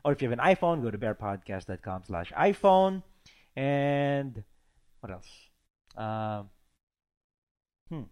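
A man's voice: medium pitch, very animated, takes it slowly, long pauses, darkish tone. Giggly guy cohosting a podcast, voice jumps around a lot, kinda rambly. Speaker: podcast co-host. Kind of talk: casual chat.

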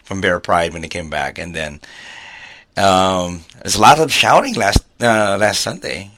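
A man co-hosting a casual podcast chat, hoarse from losing his voice, talking haltingly and laughing often. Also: low voice, lively intonation, a bit bright. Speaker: podcast co-host.

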